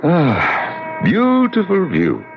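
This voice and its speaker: An actor playing a courtly, protective gentleman with a suave, formal, paternal manner in a 1970s radio drama. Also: high-pitched, huge pitch swings, drawn-out words, creaky, full character voice. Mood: suave, soothing. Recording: dull, hissy 1970s radio broadcast.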